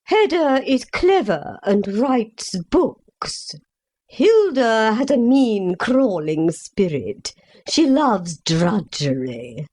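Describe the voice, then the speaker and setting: A woman performs a solemn, gloomy mother in a stage parody. She speaks very slowly and portentously, with a fairly high voice that swings dramatically through each line. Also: sing-song, darkish tone, full character voice. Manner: portentous, drawn-out melodramatic delivery. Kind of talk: comic stage-play acting.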